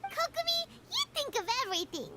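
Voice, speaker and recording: A woman's voice: very high voice, big pitch swings, brisk, heavy background noise. A woman playing a tiny, childlike fairy companion in a video game, eager and full of exclamations. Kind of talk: English video game voice acting. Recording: loud game music behind.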